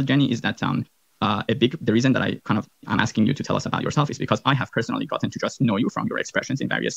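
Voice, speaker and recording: Fast gravelly man with muffled audio. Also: mid-range voice, no room sound. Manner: rambling, many fillers and restarts. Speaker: host, physician. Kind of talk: casual interview chat.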